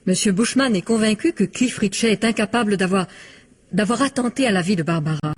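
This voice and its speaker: An actress playing an anxious, polite secretary in a French radio crime drama, with a husky voice and a pleading, troubled manner. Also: female voice, medium pitch, fairly quick, lightly acted. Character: female secretary, anxious, respectful, troubled witness.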